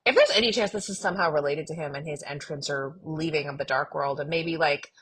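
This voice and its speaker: Female co-host, low and breathy-rough, informal and keen. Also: fast, fairly bright tone. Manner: informal, enthusiastic. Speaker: podcast co-host. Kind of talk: casual podcast discussion.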